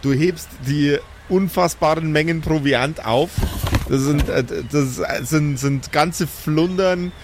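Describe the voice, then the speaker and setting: A man's voice: fairly high pitch, takes it slowly, slight background noise. A man working as game master, casually improvising narration for a tabletop game.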